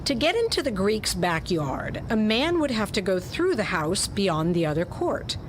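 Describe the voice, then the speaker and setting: A woman's voice: mid-range voice, sing-song, moderate pace. Woman narrator over some background noise. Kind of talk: dramatic reading of a pulp detective story.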